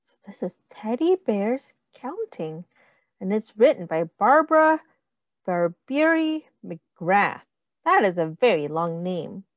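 A woman teacher reading a picture book aloud to young children in a sing-song, deliberate, instructive way, with counting and questions. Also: medium pitch, no echo.

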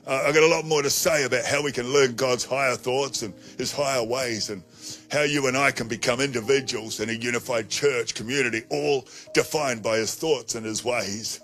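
A man in his sixties preaching a sermon on a studio stage into a handheld mic, emphatic and slowing down to stress key phrases. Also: mid-range voice, a bit bright. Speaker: pastor. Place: studio stage with colored backdrop.

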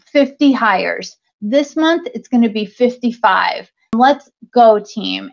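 A woman in her thirties giving a conference talk over slides, speaking conversationally with many ums and restarts, via a webcam on a video call. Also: a little high. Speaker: conference speaker, talent acquisition leader. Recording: dull lo-fi video call audio.

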